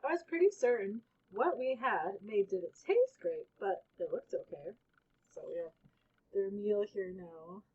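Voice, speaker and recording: A woman reads a children's story aloud with lively swings in pitch. Her voice is a little husky and sits at an average height. The sound is somewhat dull and lo-fi. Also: measured pace. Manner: animated, acts out exclamations and characters' lines. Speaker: storybook reader. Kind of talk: expressive children's read-aloud with casual asides.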